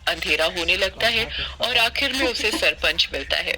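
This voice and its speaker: A woman's voice: on the low side, animated, normal speed, sharp tone, rough recording. A woman reading scripted narration for a cartoon story.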